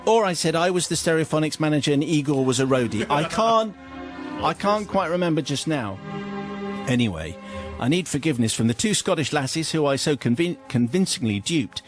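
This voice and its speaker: Brief: male radio host, slightly high voice, reads letters with a chuckle, soft music underneath. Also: expressive, fairly quick. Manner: amused, often laughing while reading. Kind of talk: radio talk show, reading letters aloud with comic voices.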